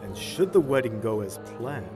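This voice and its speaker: A man's voice: medium pitch, sing-song, normal speed, music in the background, close to an everyday voice. A man playing a pompous, scheming pony nobleman in an audio drama, speaking in a formal, condescending way. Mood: oily, flattering. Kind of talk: fan audio drama acting.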